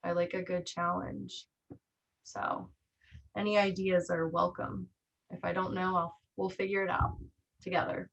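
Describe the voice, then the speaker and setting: A woman with a fairly low voice and plenty of vocal fry co-hosts a casual online team meeting. She talks informally and encouragingly. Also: level tone, a little slow, long pauses, highs cut off. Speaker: real estate trainer co-hosting a meeting.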